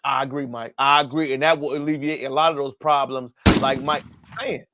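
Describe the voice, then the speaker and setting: A man co-hosting a casual political livestream, agreeing loudly and calling his co-host by name again and again. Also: medium pitch, fairly even intonation, fairly quick, no room sound, studio quality. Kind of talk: casual political debate chat.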